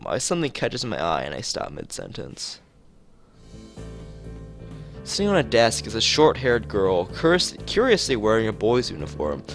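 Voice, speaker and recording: Low-voiced man reading a game aloud. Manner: stumbles over words and rereads phrases. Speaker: streamer reading a visual novel aloud.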